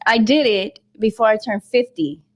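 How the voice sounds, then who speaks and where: Animated woman in her fifties. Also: a little high, moderate pace. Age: 50s. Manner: animated, laughing, self-correcting. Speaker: guest. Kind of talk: personal storytelling on a talk show.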